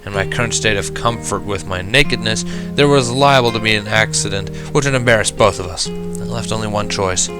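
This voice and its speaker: A man reading a first-person story aloud in an expressive narrating style. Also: on the low side, a little fast, music in the background. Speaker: fan fiction reader.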